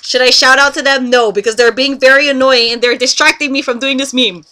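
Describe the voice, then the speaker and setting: A giggly young woman from Canada talks into a headset mic in a small bedroom, bright and a touch high in tone. She chats loosely through a word list, laughing and breaking off to tell friends to hush. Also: fairly even intonation, brisk. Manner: giggly, chatty, breaks off to scold friends on a call. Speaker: vlogger doing an accent meme. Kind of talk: casual webcam vlog. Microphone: headset microphone.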